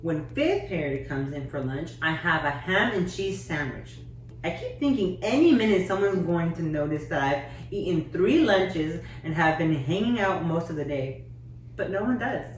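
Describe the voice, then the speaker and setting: A woman teacher reading a children's novel aloud in a measured, steady way, with occasional slips. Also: on the low side, a little hiss or hum. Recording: echoey room, distant mic, faint music.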